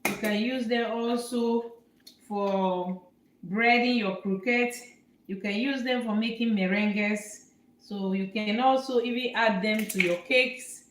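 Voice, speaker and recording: A woman from Nigeria hosts a live cooking lesson, explaining each step in an unhurried, repetitive way with plenty of fillers. Her voice sits fairly high. The sound is roomy and echoey, with faint noise underneath from the kitchen. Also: steady tone. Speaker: cooking show host, chef. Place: home kitchen.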